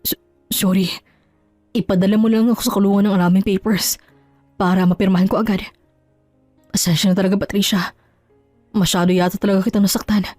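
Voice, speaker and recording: A mid-pitched, dry voice over soft music plays a cheating husband acted by a woman in an audio drama. He is ashamed and apologetic, pleading haltingly for forgiveness. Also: flat delivery, no echo, close to an everyday voice. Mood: resigned, sorry. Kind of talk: Tagalog audio drama acting.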